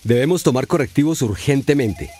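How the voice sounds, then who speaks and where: A man's voice: mid-range voice, animated, a little fast, warm tone, close to an everyday voice. A Colombian man playing an officious, disapproving school coordinator in a radio soap. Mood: stern.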